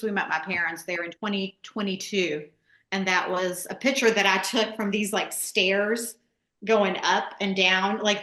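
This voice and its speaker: A woman from Charlotte in the US, chatting casually as an interview guest and explaining things in an informal, halting way. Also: medium pitch, pushed and bright. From born in Greece, grew up in Charlotte, USA. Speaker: podcast guest, real estate transaction coordinator. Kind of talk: casual chat. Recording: echoey room.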